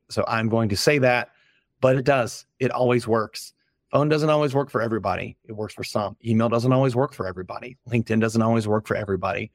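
A slightly hoarse man, a company founder, giving frank, casual advice as a podcast guest and listing his points in a loose conversational way. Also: mid-range voice, no room sound. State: a little hoarse. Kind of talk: casual business podcast talk.

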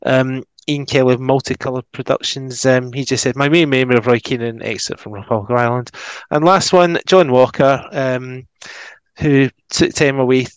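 A man's voice: medium pitch, steady tone, moderate pace, clear, resonant tone, dry recording, rough recording. A man hosting a casual football podcast, reading out listener messages with lots of ums. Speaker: podcast host.

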